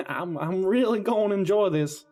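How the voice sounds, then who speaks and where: A man's voice: sits high, a little slow, darkish tone. A man playing a mocking, gloating killer out for revenge in an amateur audio drama. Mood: gloating menace. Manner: gloating, mocking, chuckling delivery. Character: taunting, vengeful male killer avenging his brother.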